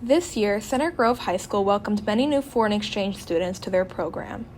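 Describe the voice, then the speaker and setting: A teenage girl narrating a school news feature in an even, scripted delivery. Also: medium pitch, moderate pace, slight background noise. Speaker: student reporter.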